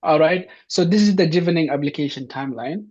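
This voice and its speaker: A man from Somalia gives an informational talk to an online audience, pausing often with fillers and restarting his sentences. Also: fairly high pitch, darkish tone, husky. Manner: hesitant, many fillers and restarts. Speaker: presenter. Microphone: video call. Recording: dull lo-fi online session.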